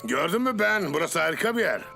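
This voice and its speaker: Sensible grandpa in a cartoon, gravelly n bright, lively up and down, kinda firm. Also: male voice, a little high, fast talker, soft background music, heavily acted. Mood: gently explaining. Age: older. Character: older grandfather, sensible, protective guardian of boy. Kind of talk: Turkish cartoon dub.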